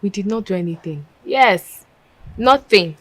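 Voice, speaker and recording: Young Nigerian daughter, film drama, animated mid-range voice, coy, respectful. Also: female voice, mid-range voice, unhurried, no echo. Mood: coy, reassuring. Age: young adult. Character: coy, respectful engaged daughter, reassuring her mother.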